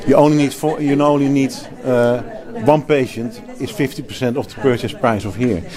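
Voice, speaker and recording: A man's voice: medium pitch, fairly even intonation, normal speed, a crowd in the background. A man from the Netherlands with a Dutch accent, a healthcare executive and clinician, talking emphatically in an interview, restarting phrases and laughing easily. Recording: interview at a crowded event.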